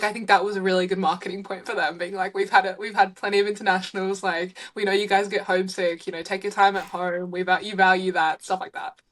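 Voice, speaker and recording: A young woman from Hungary, a college athlete, talks as a podcast guest. She is friendly and bubbly and fills her sentences with "like", at an ordinary pitch for a woman. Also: a bit bright. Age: young adult. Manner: bubbly and friendly, with frequent "like" and laughs. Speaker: college basketball player, guest. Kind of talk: casual interview.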